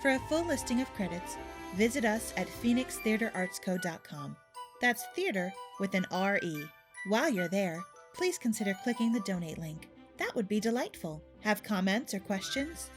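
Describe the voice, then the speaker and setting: A woman reading scripted narration as announcer in a measured, formal way. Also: medium pitch.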